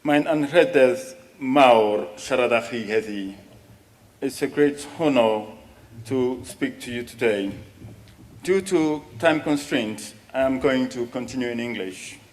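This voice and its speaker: Middle-aged man, formal speech, lectern mic, reverberant hall, mid pitch. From West Africa. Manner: measured, reading from notes at a lectern. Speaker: speaker at a parliament event. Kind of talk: formal prepared speech, bilingual. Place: large hall with long reverb.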